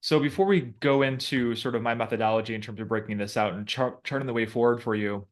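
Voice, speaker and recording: A man in his thirties hosting a casual coaching chat, relaxed and talking with many filler words. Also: mid-range voice, fast talker. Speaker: host coaching a guest.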